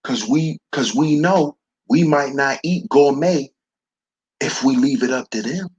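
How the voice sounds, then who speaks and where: A man with a baritone voice hosting a slow, preachy commentary monologue, calling his listeners brothers. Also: darkish tone, husky, lo-fi sound. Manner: preachy, addresses listeners as brothers, repeats "see". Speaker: commentary channel host.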